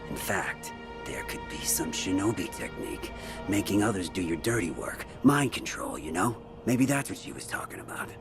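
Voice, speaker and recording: A man playing a roguish, wry middle-aged schemer in a video game, with gravelly voice and folksy, sardonic slang. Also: low-pitched, lively intonation, moderate pace. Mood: sly, musing. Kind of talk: video game cutscene acting. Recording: background music under voice.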